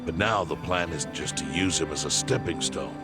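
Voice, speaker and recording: Deep gravelly calculating chairman. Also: normal speed, over loud music. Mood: calm persuasion. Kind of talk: English video game dub acting.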